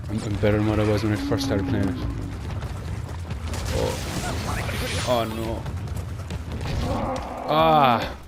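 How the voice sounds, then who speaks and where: A young Irish man chatting quickly and rambling while he plays through a platform game. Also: on the low side. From Ireland. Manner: fast, rambling, chatty with Irish phrasing like "class". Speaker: gaming channel host. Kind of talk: casual gameplay commentary. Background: game music.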